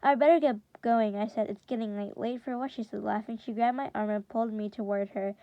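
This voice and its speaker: A woman's voice: on the high side, steady tone, brisk, no room sound, muffled recording. A woman reading a first-person story aloud in the manner of a classroom read-aloud, now and then stumbling and repeating words. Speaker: reader reading a book chapter aloud for students.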